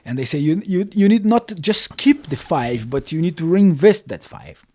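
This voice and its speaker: A man's voice: on the high side, big pitch swings, normal speed, dark tone. A man in his thirties from Brazil with a Brazilian accent, a podcast guest talking casually in English with frequent restarts. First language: Portuguese. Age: 30s. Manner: non-native English with repeated restarts. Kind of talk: casual interview talk.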